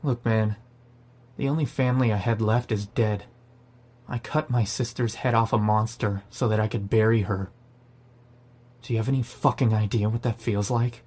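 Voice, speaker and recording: A man's voice: lowish voice, fairly even intonation, normal speed, darkish tone, husky. A man playing a sarcastic, defiant, foul-mouthed zombie apocalypse survivor in an audio drama. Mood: angry, defiant. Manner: sardonic, profane. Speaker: narrator and lead character. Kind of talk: first-person audio drama narration and dialogue.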